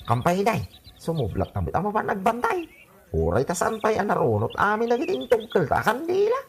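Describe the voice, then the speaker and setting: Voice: medium pitch, wildly swooping pitch, a little fast, creaky, slight background noise. A performer playing a boisterous, scheming villager in an Ilocano radio comedy drama, with exaggerated exclamations. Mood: boisterous, excited.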